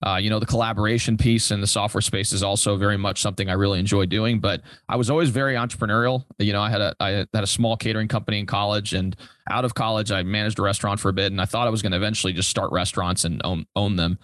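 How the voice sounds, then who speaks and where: A young adult man answers interview questions in a casual, conversational way. His voice sits fairly low and moves along quickly. He is a podcast guest talking informally. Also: steady tone, fairly bright tone, no echo, studio quality. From Europe, born in Italy and raised there and in Germany until fourteen. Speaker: podcast guest, commercial real estate broker.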